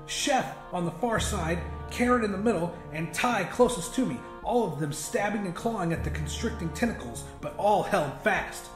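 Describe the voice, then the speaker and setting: A man narrates a horror tale in a high-pitched voice. His delivery is dramatic and intense, told in the first person. The recording has a roomy echo, with music clearly playing behind him. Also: animated, moderate pace, hardly any pauses. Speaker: audiobook narrator.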